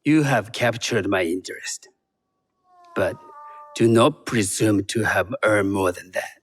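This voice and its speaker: An actor playing a composed, shrewd Japanese tea master in a video game, speaking in a measured, formal, guarded way. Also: male voice, lowish voice. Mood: stern, guarded. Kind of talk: video game cutscene acting.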